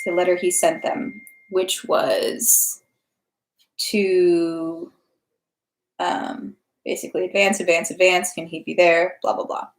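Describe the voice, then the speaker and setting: A woman hosting a read-aloud livestream, speaking informally in an echoey indoor room. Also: on the low side. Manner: informal, rambling, self-interrupting. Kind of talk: casual livestream chat, then audiobook-style reading.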